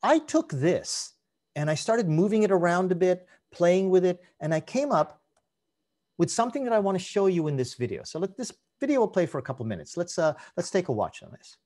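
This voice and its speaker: Animated, high-voiced male teacher presenting. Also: fairly quick. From Canada. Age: 50s. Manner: energetic, persuasive, conversational. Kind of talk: online conference presentation. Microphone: webcam on a video call.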